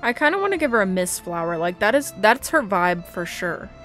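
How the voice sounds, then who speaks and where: A woman's voice: mid-range voice, big pitch swings, fairly quick, a bit bright, faint music behind. A young woman giving casual, chatty let's play commentary as a gaming host, sounding tired.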